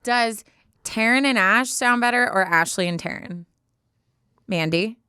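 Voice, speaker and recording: Casting: American female podcast co-host, crisp tone, dry close studio sound, casual and slangy delivery. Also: a little high, unhurried, sharp tone, no echo. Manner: informal, slangy. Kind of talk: casual banter and reading a listener letter aloud.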